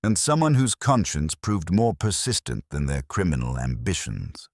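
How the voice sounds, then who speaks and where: A man voicing a dry, reflective police inspector in a detective story, speaking formally and deliberately with wry understatement. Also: sits low, very animated, no room sound, heavily acted. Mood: wry, musing.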